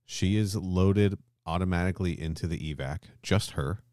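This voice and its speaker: A man's voice: low-pitched, normal speed, darkish tone, no echo, studio quality. A man hosting a podcast in a casual, informal style with some swearing. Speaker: podcast host.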